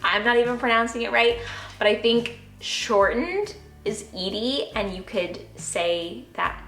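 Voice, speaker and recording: A woman talking casually to the camera, gushing in a bubbly way and giggling softly now and then. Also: on the high side, a little slow. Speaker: vlogger. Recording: roomy echo with faint music.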